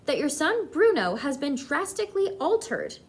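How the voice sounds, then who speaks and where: A woman's voice: sits high, sing-song, moderate pace, pitched above the performer's own voice. Woman as a firm, shrewd old grandmother.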